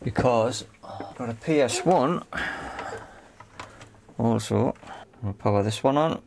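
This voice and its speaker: A man's voice: mid-range voice, slow pace, gravelly, noisy background. A man giving casual, unscripted commentary on a hands-on repair, thinking aloud in short phrases. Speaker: host of an electronics repair video.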